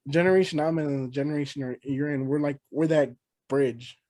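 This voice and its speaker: Laid-back young man host, warm dark voice. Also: fairly high pitch, steady tone, fast talker, no echo. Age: 20s. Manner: laid-back, agreeable, rambling restarts. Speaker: podcast host. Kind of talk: casual interview chat.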